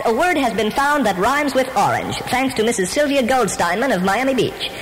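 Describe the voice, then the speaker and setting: A woman with a fairly high voice delivers a comedy piece in a dry, mock-serious tone, her pitch moving widely. The old radio recording is reverberant, with a faint hiss behind her. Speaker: radio comedy feature reader. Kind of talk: deadpan scripted comedy bit.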